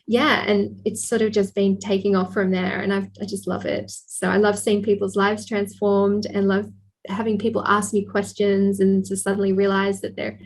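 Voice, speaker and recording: Husky woman explaining earnestly. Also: medium pitch, flat delivery, normal speed. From Australia. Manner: earnest, explanatory, laughs lightly. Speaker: sex therapist guest. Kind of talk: podcast interview.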